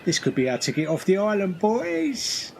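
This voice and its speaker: A man playing a naive, hopeful, excitable castaway in a comedy radio play. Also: high voice, moderate pace, gravelly, very noisy, exaggerated voice. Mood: excited.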